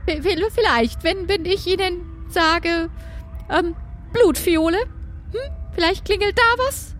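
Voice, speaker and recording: A woman's voice: very high-pitched, slow, fairly bright tone, soft background music, heavily acted. A woman playing a timid, fretful female companion in an improvised audio drama, nervous and stammering. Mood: hesitant.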